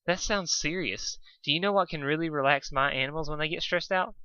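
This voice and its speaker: A man's voice: a little high, fairly quick, sharp tone, clear, resonant tone, no echo, dull audio. Man voicing a timid, sweet young girl pony. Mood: timid concern. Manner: timid, rambling, apologetic, flustered.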